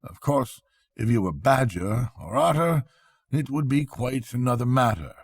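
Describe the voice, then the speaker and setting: A man's voice: a little slow, slightly rough, no room sound, studio quality. A man voicing a kindly, brave male water rat in a storybook reading, with a soothing, fatherly manner. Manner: reassuring, fatherly, slightly higher than the narration.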